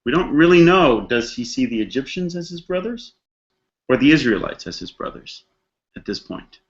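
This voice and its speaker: Slightly muffled, lo-fi audio carries a man teaching a religious text. He speaks in a conversational, reflective way at an average pitch, like a storyteller working through a passage and putting questions to his listeners. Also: very animated, moderate pace. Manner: dramatizes characters' thoughts in casual modern slang. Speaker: rabbi teaching a text study class. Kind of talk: conversational scripture lecture.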